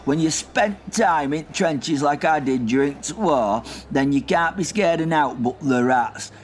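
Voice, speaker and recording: A man's voice: a little high, measured pace, a little hiss or hum, lightly acted. A man playing a grumpy, rambling old war veteran who curses constantly. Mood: cantankerous. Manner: rambling, cursing, confused. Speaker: comic character.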